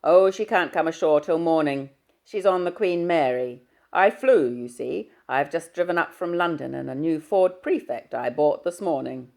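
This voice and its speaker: A jovial middle-aged father booms out his words with brisk good cheer, as a woman reading the story puts him on. The voice sits low with a gravelly edge. It sounds like a storybook dad. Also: a little mellow. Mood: hearty.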